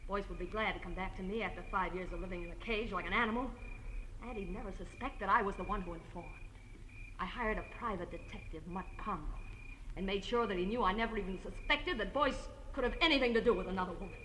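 A woman's voice: mid-range voice, fast talker, a bit bright, close to an everyday voice. An American woman playing a jealous, vengeful wife turned killer in a 1940s radio crime drama, speaking hard and bitterly. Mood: bitter, menacing. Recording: noisy vintage radio recording.

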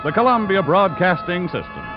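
A man's voice: high voice, huge pitch swings, moderate pace. An American man announcing in polished, declamatory mid-century radio style, reading scripted credits and promotions. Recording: muffled vintage radio broadcast, music behind.

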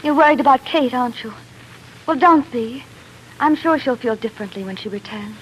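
A woman's voice: high-pitched, fairly quick, a little hiss or hum, lightly acted, low-quality recording. An actress playing a tender young woman in love, pleading and romantic, in 1940s radio drama style. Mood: gentle concern. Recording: 1940s radio broadcast, faint music.

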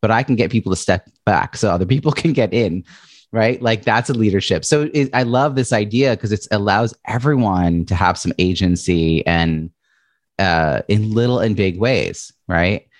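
A male podcast host, chatty and expressive, introducing and talking with a guest. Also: fairly low pitch, moderate pace, no room sound, studio quality. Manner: chatty, says he has a loud voice and big presence. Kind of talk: interview podcast.

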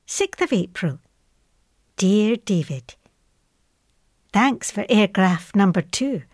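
A fond middle-aged mother of the 1940s, reading her letter aloud. She is chatty and matter-of-fact, with a medium-pitched voice in a close, dry recording. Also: expressive, slow pace, a little mellow, no echo. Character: affectionate Scottish mother writing to her airman son in wartime.

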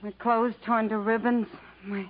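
A woman's voice: on the high side, little pitch movement, a little slow, close to an everyday voice. An actress playing a shaken but brave woman stranded in the jungle, earnest and plaintive in 1950s radio acting style. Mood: shaken, subdued. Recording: narrow, faintly noisy vintage radio recording.

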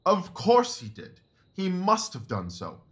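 An actor playing a keen, self-assured Victorian detective in an audio drama, speaking in a precise, deliberate way. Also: male voice, sits high, wildly swooping pitch, a bit bright, full character voice. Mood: eager.

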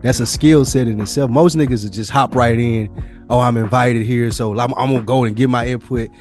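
A man's voice: medium pitch, steady tone, brisk, darkish tone, faint music behind. An American man hosting a casual podcast among friends, talking in loose, profane slang. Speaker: podcast host.

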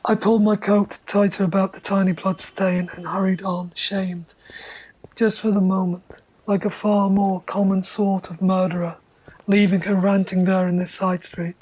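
A man voicing a guilty, haunted narrator who speaks in a flat, measured line. His voice is breathy and hushed, and it often sinks to a whisper. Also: high voice, rough recording. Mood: brooding. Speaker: first-person narrator. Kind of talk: literary narration.

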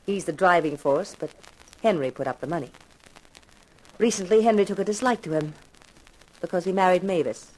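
A woman's voice: on the low side, moderate pace, long pauses, a little mellow, natural voice, rough recording. Candid, self-possessed radio widow played by a woman. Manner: candid, intimate. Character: frank, self-possessed young widow confiding in a detective. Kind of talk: 1950s radio mystery acting.

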